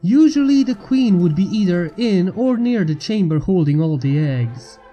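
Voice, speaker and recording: A man narrates a fan fiction chapter in a scripted reading style. His voice sits high for a man and is very mellow and dark-toned, with music playing underneath. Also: animated, moderate pace. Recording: music bed behind the narration.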